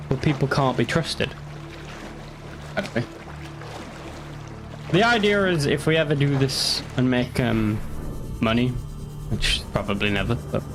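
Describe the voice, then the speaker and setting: A young man chatting casually while streaming a game, rambling and joking with the odd curse. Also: medium pitch. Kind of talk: casual gaming commentary.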